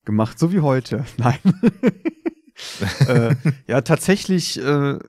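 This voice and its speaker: A man co-hosting a podcast, chatting casually in a rambling, halting way with repeated words. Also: mid-range voice, expressive, darkish tone, no room sound, clean studio sound. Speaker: podcast co-host. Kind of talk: casual chat.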